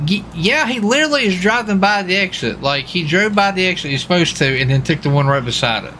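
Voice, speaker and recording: A man with a bright, forward voice talks casually as he roleplays in a video game. His speech is informal and peppered with folksy exclamations, with faint game ambience behind him. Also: high voice, lively intonation, fast talker, slight background noise. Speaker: gamer roleplaying a US marshal on stakeout.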